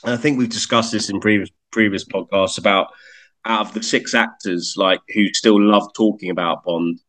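A man's voice: fairly low pitch, fairly even intonation, moderate pace, fairly bright tone. A man co-hosting a podcast, chatting in an easygoing way with stumbling restarts. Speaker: podcast co-host. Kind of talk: casual chat.

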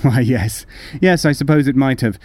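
A man's voice: medium pitch, normal speed, a little mellow, natural voice. An actor playing a nervous, guilty murderer in an old-time radio horror play, stammering and overly polite as he hides his madness. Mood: feigned calm.

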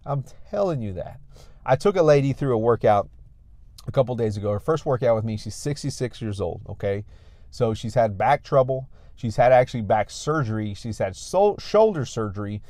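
A man's voice: medium pitch, lively intonation, moderate pace, warm tone, no echo, clean studio sound. Emphatic American male fitness coach. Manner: emphatic, repetitive, exhorting. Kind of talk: motivational talk to an audience online.

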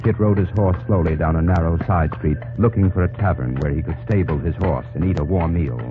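A man's voice: deep voice, fairly quick. A man narrating an old-time radio drama in a solemn, declamatory style. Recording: muffled old radio recording, loud music behind.

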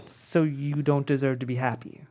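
An actress performs a sardonic, sickly old lover with a low-pitched voice. The delivery is wry and needling yet natural, with hurt underneath, as in an intimate drama read from a script. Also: female voice, level tone, normal speed, mellow.

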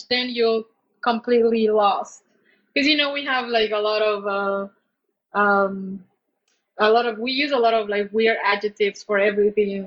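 Woman, thirties, high voice, halting casual storytelling, searching for words, dull lo-fi recording. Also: a bit bright. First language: Spanish. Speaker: interview guest.

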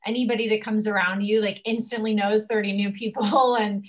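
A woman's voice: mid-range voice, fairly even intonation, fairly quick. Effusive woman host, casual talk. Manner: warm, emotional, effusive, uses fillers. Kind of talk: casual conversation, interviewing.

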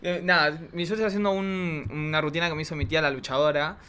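A man's voice: on the high side, steady tone, quick pace, crowd noise. A man with an Argentine accent chatting casually in a camp, dramatic, gossipy way. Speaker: drag queen guest.

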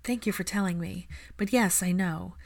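A woman's voice: lowish voice, moderate pace. A woman reading the part of a composed, practical, kind witch in a reassuring, polite way. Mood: appreciative.

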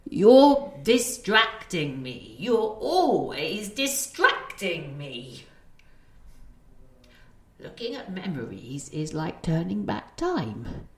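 A woman's voice: on the low side, sing-song, extremely slow, exaggerated voice. Woman playing a forgetful, indignant grandmother, roomy sound. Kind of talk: audio play acting.

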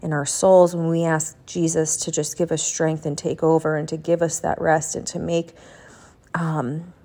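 A woman's voice: deep voice, flat delivery, normal speed, mellow. A young woman hosting a casual chat and prayer podcast, congested from a head cold, talking in a rambling, chatty way with lots of fillers. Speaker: podcast host.

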